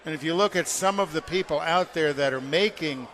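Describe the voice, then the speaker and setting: A man's voice: a little high, a little fast, a bit bright, slight background noise. A man giving informal car care advice on a talk show in a plain-spoken, instructive way. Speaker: car care segment expert. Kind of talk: informal explaining.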